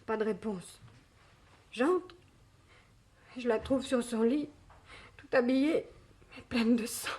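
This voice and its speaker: A high-voiced young waitress in a French mystery drama. She is anxious and earnest, stressing her words as she pleads and defends someone she loves. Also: takes it slowly, long pauses, a little mellow. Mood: shaken, subdued. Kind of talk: French radio mystery play acting.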